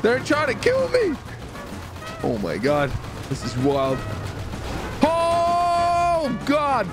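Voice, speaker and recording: A high-pitched man commentates his gameplay with wildly swinging intonation. He is excitable, mutters to himself, swears and bursts into shouts, with music clearly behind him. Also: very slow. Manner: excitable, swearing, shouting outbursts, self-talk. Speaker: gaming video host.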